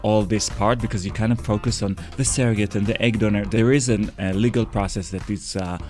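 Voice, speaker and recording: A man with an Israeli accent talks casually as a podcast co-host, playful and chatty. His voice sits low. Faint music plays under him. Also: steady tone, fairly quick, a little mellow. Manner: playful, chatty delivery with fillers.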